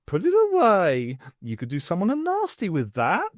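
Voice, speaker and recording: A man's voice: high-pitched, huge pitch swings, moderate pace, no room sound, studio quality, pitched above the performer's own voice. A man voicing a brash, cheeky old female pantomime dame in an audiobook, with a saucy, indignant manner. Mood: scolding. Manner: saucy, innuendo-laden, indignant.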